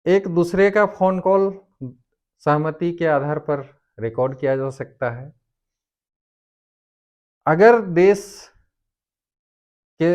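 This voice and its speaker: A man giving a formal explanatory lecture on law, speaking deliberately in short, halting phrases as a presenter. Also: fairly high pitch, darkish tone.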